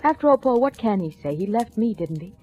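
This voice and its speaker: An actress playing an anxious, haunted wife in a 1940s radio suspense drama, with intense, dramatic delivery. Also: female voice, mid-range voice, very animated, brisk, mellow, close to an everyday voice. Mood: tender, defiant. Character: anxious, haunted vaudeville wife longing for divorce. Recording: dull old radio recording with music.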